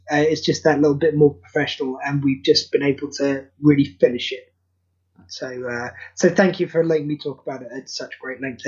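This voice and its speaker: A man with a notably high voice and a husky edge talks casually as an interview guest. He is earnest and rambles informally. The sound is dull and lo-fi. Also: darkish tone. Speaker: interview guest, creator of charity audio plays. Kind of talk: casual podcast interview chat.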